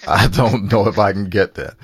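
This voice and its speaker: A man's voice: on the low side, moderate pace, creaky, slightly rough, no echo. A man co-hosting a podcast in casual banter, informal and slangy, sounding amused and exasperated. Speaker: podcast co-host.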